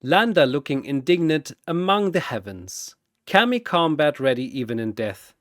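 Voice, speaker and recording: A man's voice: on the high side, sing-song, normal speed, no echo, studio quality. A man narrating a scripted fantasy battle as a dramatic storyteller.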